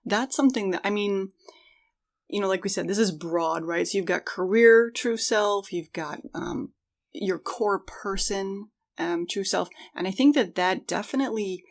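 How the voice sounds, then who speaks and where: A woman's voice: mid-range voice, a little slow. A woman in her forties co-hosting a podcast in an informal, chatty way, often checking in with her listener as she explains. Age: 40s. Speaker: podcast co-host. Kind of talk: casual conversational chat.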